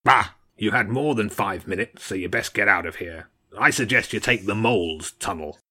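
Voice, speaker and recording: A man's voice: fairly low pitch, moderate pace, pushed and bright. A man voicing a stern, mocking old badger king in an audiobook story, with a blunt, commanding manner. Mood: irritable. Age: older. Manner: blunt, scornful, commanding, short barked phrases.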